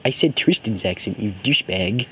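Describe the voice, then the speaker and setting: A man's voice: lowish voice, expressive, normal speed, bright, forceful tone. A man improvising a comic horror story for friends, imitating a parody voice and often repeating himself as he goes. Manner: imitating a cartoon parody character's voice as a joke; stumbling, repeats words and phrases. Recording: noisy amateur home recording.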